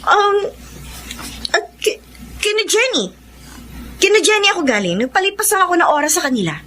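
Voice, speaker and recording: An actress playing a frightened, evasive unfaithful lover in a melodramatic Filipino radio drama. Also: female voice, very high-pitched, big pitch swings, fairly quick, bright, forceful tone, a little hiss or hum, full character voice. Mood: nervous, evasive.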